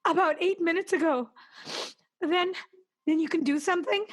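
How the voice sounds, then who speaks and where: A woman playing an anxious, demanding married invalid in a 1940s-style radio play, insistent and halting as she frets. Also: extremely high pitch. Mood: flustered, pleading. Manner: fretful, insistent, halting with repeated words.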